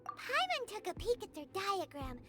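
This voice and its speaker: A woman's voice: very high voice, wildly swooping pitch, normal speed, full character voice. A woman voicing a tiny, bubbly floating fairy companion in a video game, who speaks of herself in the third person. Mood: wry. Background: game music.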